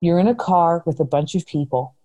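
A woman's voice: fairly low pitch, fairly quick, mellow. A woman host talking in casual conversation, making her points emphatically with illustrative examples.

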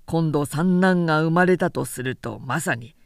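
A woman's voice: deep voice, normal speed, no echo, studio quality, pitched below the performer's own voice. A woman voicing a garrulous, self-important middle-aged samurai man who lectures at length in a storybook reading. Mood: chatty, self-satisfied. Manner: long-winded, pompous, lecturing.

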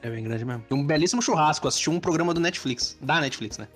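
A man's voice: fairly high pitch, lively intonation, quick pace, fairly bright tone, breathy edge, soft background music. A Brazilian man in casual podcast chat, rambling and swearing casually. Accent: Brazilian Portuguese. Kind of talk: casual banter.

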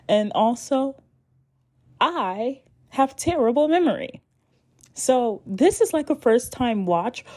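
Lively young woman rambling casually. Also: mid-range voice. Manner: animated, rambling, swears freely, restarts often. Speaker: podcast host. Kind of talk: casual anime recap podcast.

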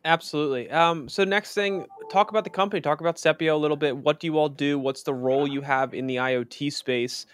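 A man hosting a podcast, upbeat and smooth as he asks his guest questions. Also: a little high, steady tone, quick pace, few pauses, no room sound. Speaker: podcast host. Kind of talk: podcast hosting and sponsor read.

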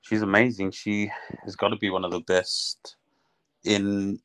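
A man's voice: on the low side, flat delivery, measured pace, fairly bright tone, low-quality recording. A man from Australia with a lightly New Zealand-tinged accent, chatting casually as a podcast guest in a laid-back, slangy way. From Australia (Melbourne). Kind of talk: casual chat.

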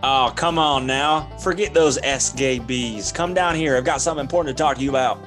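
A man's voice: mid-range voice, a little fast, over music, close to an everyday voice. A man playing a hokey, overeager salesman dad in a parody ad skit, with folksy, emphatic patter. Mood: insistent.

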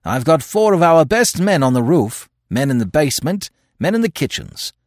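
A man voicing a dutiful police superintendent in an audiobook, reporting in a brisk, emphatic, businesslike way. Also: a little high, sing-song, dry recording, clean studio sound, voice pushed up. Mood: confident.

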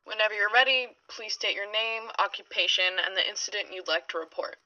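A woman's voice: mid-range voice, brisk, bright, forceful tone, lo-fi sound, slight background noise. A woman playing a cool, formal, skeptical 1920s researcher taking statements in an audio drama. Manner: crisp, polite but skeptical.

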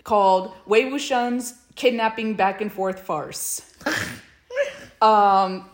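A woman with a medium-pitched voice talks informally as a podcast co-host. She speaks in a halting, conversational way, searching for the right words. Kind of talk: casual chat about fan fiction.

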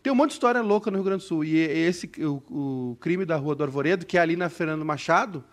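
Gaucho guy rambling thru a story, lots of "né", a bit high. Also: animated. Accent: southern Brazilian (gaúcho). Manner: rambling, halting storyteller with many "né?". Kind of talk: casual chat, storytelling.

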